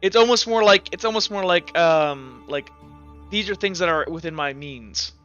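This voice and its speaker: Man, game master, bright higher-pitched voice, casual halting delivery, dull lo-fi audio. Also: smooth, faint music behind. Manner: informal, halting, thinking aloud. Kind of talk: casual tabletop game narration and chat.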